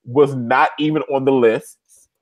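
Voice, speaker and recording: A man's voice: mid-range voice, steady tone, measured pace. A man co-hosting a casual live recap show over a video call, emotional and playful. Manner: emotional, playful, sings along.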